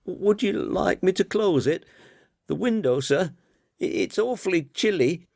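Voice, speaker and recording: A man voicing a timid, bumbling young office worker in an audiobook, with a high, stammering, deferential delivery. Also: animated, measured pace, creaky, darkish tone, no room sound, clean studio sound, full character voice. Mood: nervous, awkward. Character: nervous, bumbling young male office employee.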